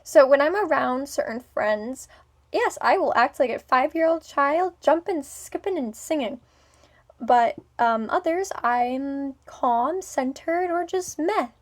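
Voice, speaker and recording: A young woman with a speech impediment talks into her own vlog, her voice sitting a little high. She rambles, stops and starts again, and fills the gaps with ums. Her tone moves between smooth and businesslike and something more playful. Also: animated, slow pace. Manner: hesitant, many ums and restarts. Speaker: vlogger.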